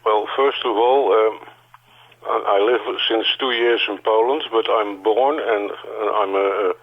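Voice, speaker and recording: Dutch guy in his 60s on a crackly phone line, low voice, keeps repeating himself. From Netherlands. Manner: halting, repeats words, restarts often. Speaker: interview guest. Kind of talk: phone interview. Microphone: telephone.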